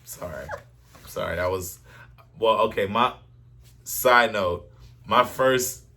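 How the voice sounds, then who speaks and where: A man's voice: fairly low pitch, takes it slowly, vocal fry, sharp tone. A man chatting casually and telling stories in a playful, defensive, slangy way with plenty of swearing. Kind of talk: casual chat, joking storytelling.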